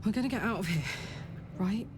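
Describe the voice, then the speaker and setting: A woman's voice: mid-range voice, expressive, a little fast, noisy background, natural voice. An actress voicing a gutsy female adventurer in a video game, blunt, plain-spoken and wry. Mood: tense, wry. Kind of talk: video game companion acting. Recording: game music behind the voice.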